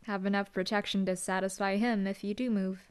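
A woman's voice: medium pitch, flat delivery, brisk, clean tone, close to an everyday voice. A young woman voicing a dry, teasing female hellhound demon bodyguard in an audio roleplay. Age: young adult. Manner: dry, teasing, laid-back.

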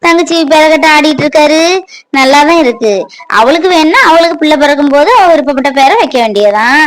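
A woman's voice: high-pitched, quick pace, close to an everyday voice. An actress playing a middle-aged mother who scolds her grown daughter indignantly in a family drama. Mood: scolding. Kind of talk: web serial drama acting.

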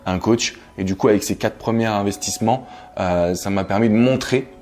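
A man answers questions in a relaxed, conversational interview. His voice sits fairly low, picked up by a lapel mic in a living room, with soft music underneath. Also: normal speed. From France. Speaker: interview guest, coach and entrepreneur. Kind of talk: casual interview talk. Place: living room, on a sofa indoors. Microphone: clip-on lapel mic. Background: background music.

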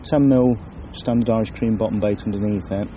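A man calmly talking through his fishing setup outdoors on a lake bank, sometimes dropping to a hush near the water. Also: on the low side, a little fast, a little hiss or hum. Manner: explains calmly, drops to a near-whisper by the water. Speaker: angler presenting a fishing video. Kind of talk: casual on-the-bank fishing commentary.

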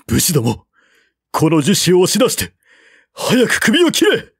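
A man's voice: high-pitched, wildly swooping pitch, unhurried, vocal fry, a bit bright, slightly rough, no room sound, clean studio sound, voice pushed up, heavily acted. A man playing an emotional, impulsive warlord king in a storybook, with dramatic, archaic lordly speech. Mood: furious.

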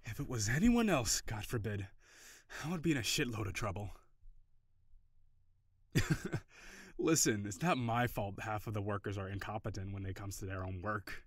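A man playing an overworked office boyfriend in an audio roleplay. He sighs often, curses when frustrated and softens into affection. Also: lowish voice, moderate pace, long pauses, no room sound, clean studio sound, close to an everyday voice. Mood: irritated, exasperated. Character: stressed, overworked office boyfriend, gruff but tender. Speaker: voice actor.

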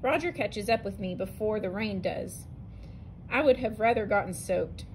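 A woman's voice: medium pitch, normal speed, slight background noise, poor audio. Woman reading a picture book aloud. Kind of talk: reading a narrative poem aloud to students. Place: indoors, at a table over an open book.

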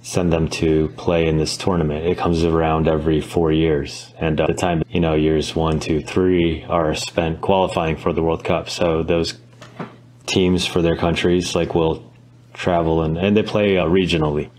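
A man from Brazil speaks in a deep voice as a guest on a podcast. He explains things in an informal, conversational way over a video call. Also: flat delivery, moderate pace, a little mellow. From born in Brazil, moved to the United States as a child.

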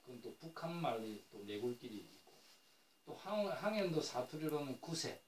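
A man's voice: mid-range voice, big pitch swings, normal speed. An older man giving a classroom lecture on traditional floor heating, rambling and correcting himself, with many fillers as he explains. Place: lecture room. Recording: echoey room, recorded from a distance with faint noise.

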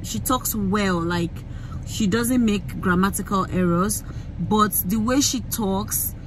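A woman's voice: mid-range voice, slow, a little hiss or hum, rough recording. Nigerian woman ranting in a car. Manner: animated, emphatic, frequent fillers and restarts. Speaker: vlogger. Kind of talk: casual rant to camera. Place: inside a parked car. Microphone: phone camera held close.

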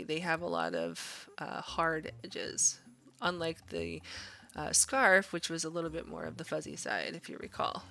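A woman's voice: sits low, gravelly, bright, forceful tone. A woman art instructor narrating a drawing demonstration in an informal, encouraging, conversational way. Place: voice-over to a screen recording.

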